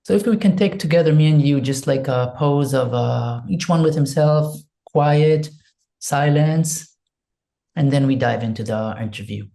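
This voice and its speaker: A man from Israel with an Israeli accent, speaking in English as an interview guest, soft and hesitant, searching for words and leading a quiet prayer. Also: fairly high pitch, a little slow, creaky. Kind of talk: slow reflective interview talk with a spoken prayer.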